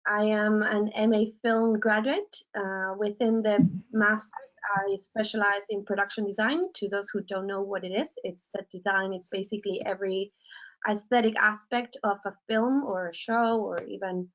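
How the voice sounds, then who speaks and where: A young Argentine woman hosting a student panel in a webinar. Her voice sits in a middle pitch and she explains things steadily, with many filler sounds. The audio is dull and lo-fi, like a video call. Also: flat delivery, normal speed, fairly bright tone. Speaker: panel moderator, film graduate.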